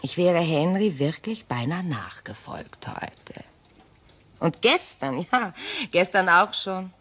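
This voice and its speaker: An actress playing a shaken, wistful ageing widow in a radio crime drama, with halting, pause-filled delivery. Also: female voice, on the low side, big pitch swings, fairly bright tone, slightly rough, heavily acted. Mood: shaken, hesitant.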